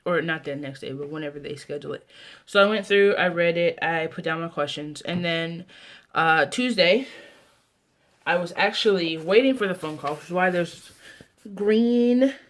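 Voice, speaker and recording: A woman's voice: fairly low pitch, moderate pace. A woman in her twenties with an American accent, chatting casually as a video blogger, rambling and often breaking off mid-thought to restart. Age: 20s. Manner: rambling, self-interrupting, trailing off. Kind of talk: casual vlog chat.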